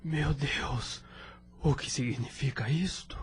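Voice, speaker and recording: A man's voice: mid-range voice, slow pace, slight background noise, close to an everyday voice. A man playing a devoted, grieving widower in an audio drama, earnest and emotional in his delivery. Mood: grief, shock. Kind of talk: melodramatic audio drama acting.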